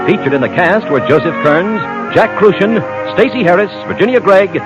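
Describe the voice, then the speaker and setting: A man's voice: high-pitched, lively intonation, moderate pace. An American man working as a 1950s radio announcer, reading a script with polished, emphatic, dramatic phrasing. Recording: muffled old radio broadcast, loud music.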